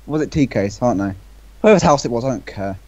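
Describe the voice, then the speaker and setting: Mellow, animated young man on lo-fi chat. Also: mid-range voice, fast talker. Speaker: amateur author reacting to his story. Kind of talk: casual group banter. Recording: dull, lo-fi voice chat.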